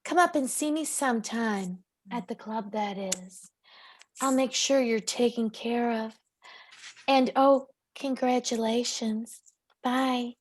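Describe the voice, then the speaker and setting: A woman's voice: a little high, takes it slowly, slight background noise. A woman playing a flirtatious, melodramatic nightclub dame in a campy 1940s radio noir. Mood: flirty, grateful. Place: live community theatre stage performance.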